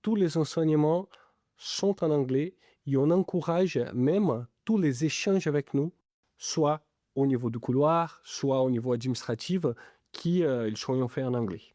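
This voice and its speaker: A man's voice: a little high, unhurried, dark tone, no echo, studio quality. A man from Italy and Brazil speaking French with an Italian-Brazilian accent, a non-native speaker answering interview questions as a lecturer-researcher, hesitating often with fillers. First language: not French. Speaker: business school lecturer-researcher.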